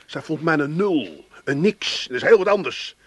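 An actor playing a proud, offended grown son with an Amsterdam accent in a comedy radio play, curt and pompously wounded. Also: male voice, fairly high pitch, lively intonation, normal speed, a bit bright, slightly rough, no room sound. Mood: indignant. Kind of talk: 1980s Dutch comedy radio play acting.

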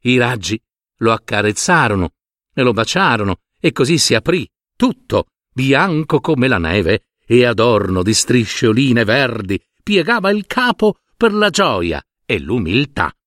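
Very expressive male fairy-tale narrator. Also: medium pitch, a little slow, no echo, clean studio sound. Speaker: storyteller, narrator.

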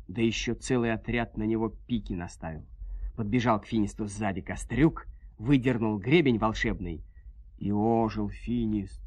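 A man narrating a folk tale in an expressive, folksy storytelling manner. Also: lowish voice, no room sound.

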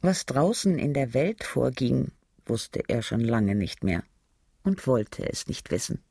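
Male radio drama narrator, gravelly mid-range voice, literary reading. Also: mid-range voice, sing-song, slow pace, dark tone, dry recording, studio quality.

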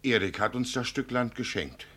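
A man's voice: medium pitch, normal speed, fairly bright tone, breathy edge. An actor in a German radio play, playing a doting, proud, simple poor village father who talks plainly and earnestly.

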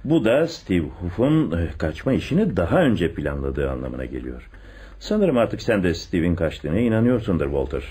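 An actor playing a confident police chief inspector in a radio play, with an expressive, deliberate theatrical delivery. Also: male voice, deep voice, husky, full character voice. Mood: inquisitive. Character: male police chief inspector, secretly in love, confident theorist. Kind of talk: Turkish radio theatre acting.

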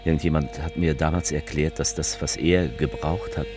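An actor playing an evasive, self-justifying politician in a theatrical speech, speaking emphatically with deliberate pauses. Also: male voice, rumbling low, fairly even intonation, music in the background. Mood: reminiscing. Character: male politician orating, evasive, self-justifying, folksy storyteller.

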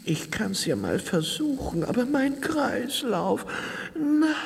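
An actress playing a mild, fussy rain spirit in a children's radio play, dainty and plaintive, dotting the verse with dripping sounds. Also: female voice, fairly high pitch, very animated, slow pace, gravelly, a little mellow, breathy edge, long reverb tail, exaggerated voice.

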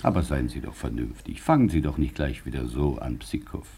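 Pompous worried magistrate in old radio play, low gravelly voice, wheedling and pleading. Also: male voice, expressive, fairly quick, mellow, exaggerated voice. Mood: coaxing. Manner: courteous, wheedling, theatrical, repeating himself. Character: flustered, pleading male investigating magistrate, pompous and easily despairing. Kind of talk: 1950s German radio play acting.

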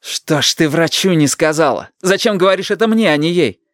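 A woman plays a hot-tempered young man from a dramatized story. The delivery is agitated and indignant, pitched high and pushed loud, in a dry recording. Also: a little slow, no echo. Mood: exasperated. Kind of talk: dramatized audiobook reading.

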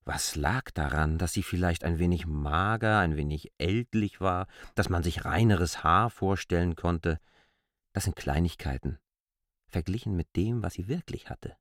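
An actor playing a coolly reasoning, insistent man who lectures deliberately and articulately in a literary radio play. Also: sits low, dry recording, studio quality. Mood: insistent, explaining.

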